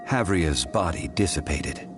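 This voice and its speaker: Very low male voice, ancient wise gentleman, slow, grave, formal, composed, video game dialogue, music behind. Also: lively intonation. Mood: solemn, grave. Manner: measured, formal, solemn delivery. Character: calm, solemn, ancient man, learned and gravely composed. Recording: background music under the voice.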